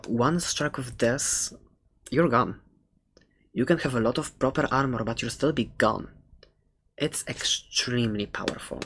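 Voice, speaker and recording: A woman's voice: extremely low pitch, a little hiss or hum, heavily acted. A woman playing a chatty, quirky dragon egg seller in an ASMR roleplay, talking in a hesitant, playful, conversational way close to the microphone. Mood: admiring, explaining. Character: chatty, quirky seller of dragon eggs, fond of dangerous pets. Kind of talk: ASMR roleplay, casual rambling talk.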